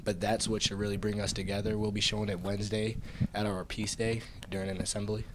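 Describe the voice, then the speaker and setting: A teenage boy, a student guest, speaking in searching, halting phrases. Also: on the low side, flat delivery, noisy background. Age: teens. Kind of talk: casual chat.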